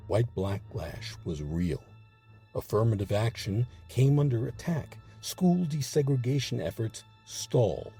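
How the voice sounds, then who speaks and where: Deep, husky male documentary narrator. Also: mellow. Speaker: host and narrator of a history series.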